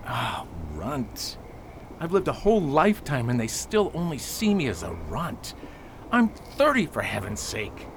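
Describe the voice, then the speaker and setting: A man's voice: mid-range voice, wildly swooping pitch, measured pace, vocal fry, a little hiss or hum, full character voice. A man playing an aggrieved, jumpy thirty-year-old underdog in an audio drama. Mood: grumbling.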